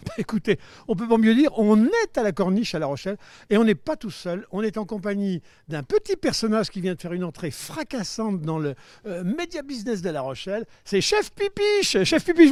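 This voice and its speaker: A man's voice: high voice, sing-song, fairly quick, a little mellow, dry recording. A man hosting a live street interview outdoors by the seafront, asking questions in a playful, teasing way. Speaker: host.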